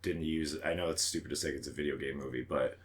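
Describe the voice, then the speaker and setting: A man's voice: a bass voice, fairly even intonation, fast, background noise. A man co-hosting a podcast in casual chat, blunt and prone to swearing. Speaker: podcast co-host. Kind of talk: casual chat about movies.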